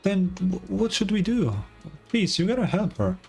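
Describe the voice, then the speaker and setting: A man's voice: sits high, sing-song, moderate pace, gravelly, a little mellow, breathy edge, faint music behind, pitched above the performer's own voice, exaggerated voice. A man voicing a worried young child from a game, loyal and earnest, with a hesitant, pleading manner. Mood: alarmed, pleading.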